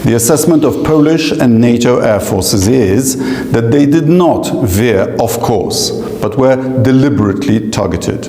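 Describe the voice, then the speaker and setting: A man delivering a formal official statement in a measured way. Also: mid-range voice, lively intonation, dark tone. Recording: echoey hall, long reverb.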